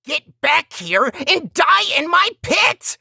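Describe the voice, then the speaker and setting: A woman's voice: high voice, very animated, takes it slowly, vocal fry, pushed and bright, no room sound, clean studio sound, exaggerated voice. A woman voicing a scheming, sarcastic AI villain in a video game, chatty and mock-polite in a theatrical way. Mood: exasperated. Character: female scheming AI villain, breezily cheerful, sarcastic and murderous. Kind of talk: video game character dialogue.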